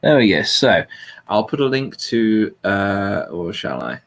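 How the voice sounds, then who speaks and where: A man's voice: lowish voice, very animated, a little slow, vocal fry, a bit bright. A man hosting a casual, humorous chat in a wry, jokey manner. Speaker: host.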